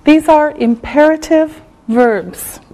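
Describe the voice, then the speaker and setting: A woman teaching English, who says each phrase slowly and clearly, repeats it, and checks in with "okay?". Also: high-pitched, dark tone, low-quality recording. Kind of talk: slow, deliberate classroom teaching.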